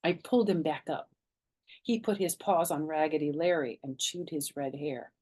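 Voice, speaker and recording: A woman reading a children's chapter book aloud as a storytime narrator, animated and dramatic in her telling. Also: deep voice, moderate pace, breathy edge.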